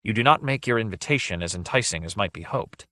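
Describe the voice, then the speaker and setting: A man's voice: mid-range voice, a little fast, a bit bright, no echo, studio quality, natural voice. A man acting a bold, defiant male adventurer in a fantasy story, speaking in a proud, formal, archaic and declamatory way. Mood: questioning, wary.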